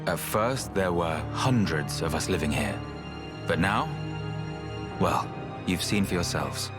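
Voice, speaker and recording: Low-voiced, measured, pragmatic researcher. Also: male voice, lively intonation, over loud music. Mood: sober, resigned. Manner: measured, thoughtful, understated. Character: calm, measured male researcher, weary and pragmatic elder. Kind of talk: video game voice acting.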